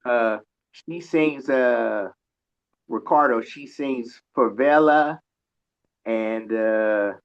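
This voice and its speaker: A man in casual chat on a radio show, halting as he searches for words, over a dull lo-fi online connection. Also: mid-range voice, smooth.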